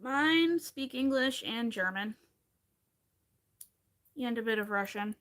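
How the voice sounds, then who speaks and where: A woman's voice: fairly high pitch, animated, slow, fairly bright tone. A woman in her thirties from Pennsylvania, chatting casually and rambling with wry asides while she crochets on a livestream.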